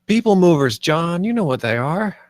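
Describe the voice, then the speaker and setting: A man's voice: on the high side, very animated, normal speed, no room sound. Earnest, pleading man acted, dry sound. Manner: pleading, insistent. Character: earnest, stubborn male theme-park designer, exasperated. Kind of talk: live screenplay table read.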